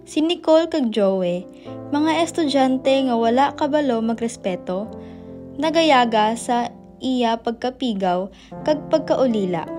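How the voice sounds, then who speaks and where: A woman with a Filipino accent narrating a scripted radio drama. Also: high-pitched. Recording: music bed under the voice.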